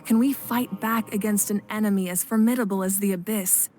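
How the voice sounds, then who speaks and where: An actress playing a composed, noble young warrior queen and kindly deity in a video game, speaking in a measured, gentle, dignified way. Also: medium pitch, fairly even intonation, a little hiss or hum, close to an everyday voice. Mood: solemn, explanatory. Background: faint background music.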